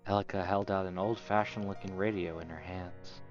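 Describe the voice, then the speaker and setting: Man, deep voice, fairly flat delivery, story narration, visual novel read-aloud, dull lo-fi mic. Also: fairly quick. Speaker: narrator reading subtitles of a game. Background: soft game music.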